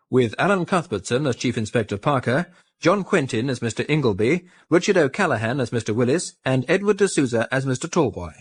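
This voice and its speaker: A man with a British accent reading scripted radio drama credits as an announcer in a formal, measured manner. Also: mid-range voice, breathy edge.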